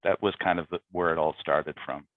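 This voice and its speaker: A man's voice: deep voice, fairly even intonation, a little fast, dry recording. A man speaking reflectively as a playwright guest on a panel, over muffled, dry video-call audio.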